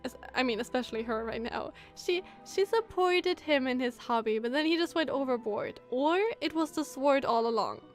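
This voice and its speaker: A woman's voice: high-pitched, expressive, normal speed, few pauses. A woman streamer giving casual game commentary, thinking aloud in an empathetic way. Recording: game music behind the voice.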